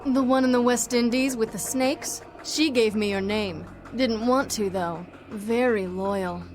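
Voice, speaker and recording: A woman's voice: on the high side, unhurried, a little mellow, natural voice. Woman playing a flippant vampire assassin over music. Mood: sardonic. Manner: dry, wisecracking, flippant. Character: sardonic half-vampire woman assassin, cocky and defiant. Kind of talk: video game cutscene acting. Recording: music behind the voice.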